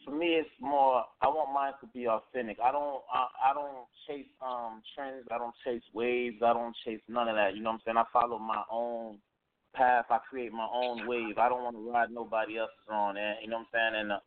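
A young male rapper from Miami, chatting casually in an interview and circling his points with fillers and restarts. His voice sits at an ordinary pitch. The sound is narrow and phone-like, with no room around it. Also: flat delivery, normal speed, no echo. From Miami, Florida. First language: Haitian Creole heritage speaker. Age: young adult. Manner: loose, repetitive, full of fillers and restarts.

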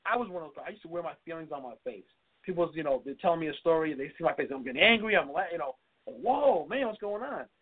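A man co-hosts a talk show, telling stories from his own life in a chatty, conversational way. He talks fast and sits a little higher than most men. The audio is narrow and thin, like a phone call. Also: lively intonation, breathy edge. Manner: quick, conversational, tells personal stories. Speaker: co-host.

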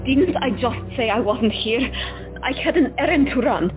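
A woman's voice: a little high, expressive, normal speed, close to an everyday voice. A woman playing Stella, a caring but flustered doctor in 1917, speaking emotionally to patients and relatives. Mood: defensive. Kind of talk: amateur audio drama acting. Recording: tinny phone-like sound, faint music.

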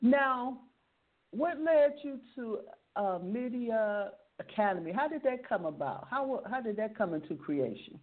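A woman's voice: a little high, expressive, unhurried. A woman hosting a radio interview, upbeat and encouraging, often repeating her words. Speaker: radio show host. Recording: tinny phone-like sound.

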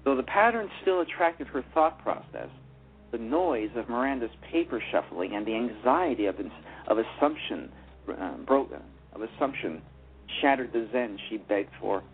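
A man's voice: medium pitch, animated, moderate pace, slightly rough. A man narrating a radio play who stumbles and restarts over his words while reading. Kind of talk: reading a story aloud. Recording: tinny phone-line sound.

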